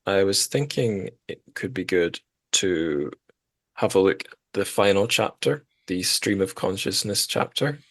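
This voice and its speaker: A man's voice: deep voice, animated, unhurried, a bit bright. A man hosting a podcast, talking casually and thoughtfully about literature in tentative phrases. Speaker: podcast host.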